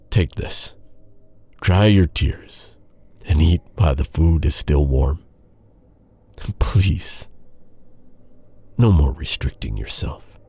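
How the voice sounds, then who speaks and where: A man playing a guilt-ridden, devoted knight in a dark fantasy audio roleplay, speaking in an earnest, dramatic, halting way. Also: rumbling low, husky, exaggerated voice. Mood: tender. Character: brooding, guilt-ridden male knight, bitter yet tenderly devoted.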